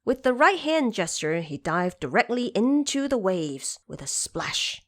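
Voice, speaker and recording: A woman's voice: mid-range voice, big pitch swings, a little slow, a bit bright. A woman narrating a scripted story expressively, as the narrator of an audio drama.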